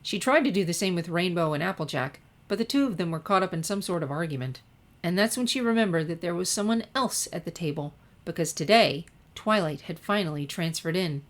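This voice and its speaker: Low-pitched woman narrating a story. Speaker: fan fiction reader. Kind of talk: scripted story narration with character voices.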